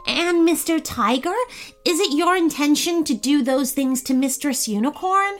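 Woman voicing a high, bright, innocent little pickle. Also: normal speed, faint music behind, natural voice. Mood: polite, curious. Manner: bright, friendly, earnest. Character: small, polite, curious, innocent female pickle.

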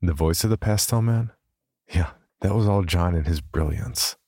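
A man's voice: sits low, normal speed, darkish tone, dry recording, studio quality. A man hosting a podcast, reading out scripted announcements. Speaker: podcast host.

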